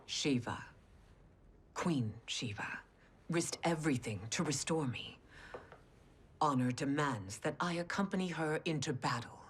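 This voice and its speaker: A woman voicing a proud, scheming queen in a video game cutscene, speaking in a low, breathy whisper. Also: expressive, measured pace, long pauses, slight background noise. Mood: regal.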